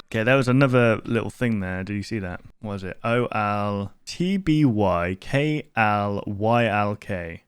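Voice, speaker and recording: A man's voice: fairly low pitch, measured pace, hardly any pauses, clear, resonant tone, faint music behind. A man hosting a reaction video, commenting casually and musing aloud in a rambling way.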